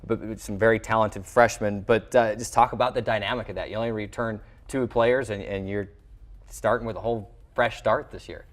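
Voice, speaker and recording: Lower-voiced man hosting sports talk. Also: steady tone, brisk. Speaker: sports show host and play-by-play broadcaster. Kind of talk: sports talk show interview.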